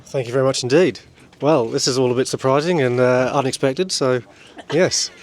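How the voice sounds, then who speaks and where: A man's voice: mid-range voice, normal speed. A man co-hosting a casual podcast, hesitant and drily self-deprecating. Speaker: co-host. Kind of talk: casual chat.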